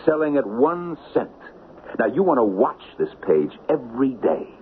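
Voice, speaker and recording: An actor playing a smooth, commanding con-man boss, coaxing and mocking in a theatrical old-time radio style. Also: mid-range voice, sing-song, moderate pace. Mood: coaxing menace. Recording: lo-fi hissy old radio recording.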